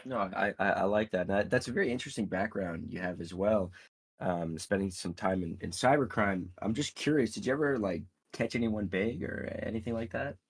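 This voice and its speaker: A man's voice: fairly low pitch, a little fast, slightly rough. A man hosting an online Q&A, a bit under the weather, chatting in a friendly way with frequent stammers and laughs. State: a bit sick. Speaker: AMA host. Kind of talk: casual chat hosting.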